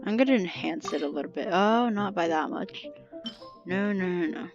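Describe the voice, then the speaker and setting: A woman's voice: on the low side, expressive, moderate pace, gravelly, lo-fi sound. A young woman giving casual, playful game commentary, muttering offhand reactions to herself. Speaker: gameplay streamer. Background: game music.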